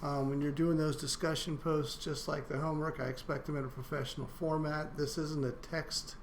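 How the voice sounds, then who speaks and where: Monotone halting male lecturer. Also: on the high side, slight background noise. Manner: halting, many "uh" fillers and restarts. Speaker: university instructor. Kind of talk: recorded online course syllabus walkthrough. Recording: roomy echo, faint room ambience.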